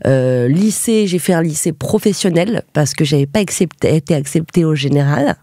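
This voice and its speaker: Relaxed young woman from Paris. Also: low-pitched, moderate pace, dry recording, studio quality. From Paris, France. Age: 20s. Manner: relaxed storytelling with fillers. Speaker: guest comedian. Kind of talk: casual podcast interview.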